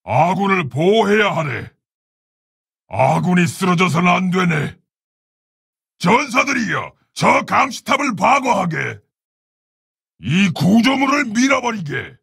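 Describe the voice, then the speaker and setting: An actor playing a proud, honorable orc warrior shaman in a video game, speaking in a gravelly, husky, solemn and commanding way. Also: sits high, very animated, slow, long pauses, a bit bright, dry recording, shouting, clean studio sound, heavily acted. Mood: urgent battle commands. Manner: solemn, commanding, archaic formal phrasing. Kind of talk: video game character battle lines.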